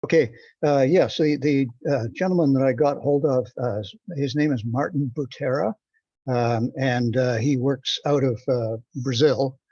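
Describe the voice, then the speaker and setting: A man's voice: mid-range voice, measured pace, dark tone, no echo. A man giving a hesitant, rambling report on a conference call. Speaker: meeting participant giving a report. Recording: dull, dry teleconference audio.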